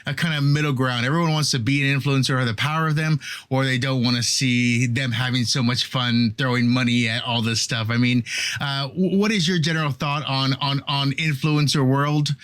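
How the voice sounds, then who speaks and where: A man's voice: mid-range voice, steady tone, moderate pace, fairly bright tone. A man hosting an interview, asking long, rambling questions full of restarts and fillers. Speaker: interviewer host.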